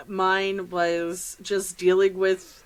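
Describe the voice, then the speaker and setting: Slow-paced American woman chatting on a podcast. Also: mid-range voice, level tone. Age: middle-aged. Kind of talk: casual podcast chat.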